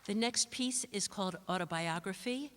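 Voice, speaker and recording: A woman's voice: medium pitch, normal speed, heavy background noise. A woman hosting a live event, making announcements to introduce readers. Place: large indoor hall at a live event. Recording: echoey hall with long reverb.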